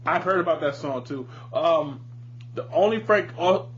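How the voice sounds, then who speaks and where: A man's voice: fairly high pitch, measured pace. A man hosting a podcast, chatting casually and bluntly about music with plenty of swearing. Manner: informal, profane, opinionated. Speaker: podcast host. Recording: muffled podcast audio with light hum.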